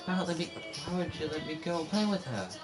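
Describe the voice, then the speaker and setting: A man's voice: on the high side, lively intonation, a little fast, music in the background, voice pushed up, natural voice. A man putting on the voice of a little child pleading with a parent.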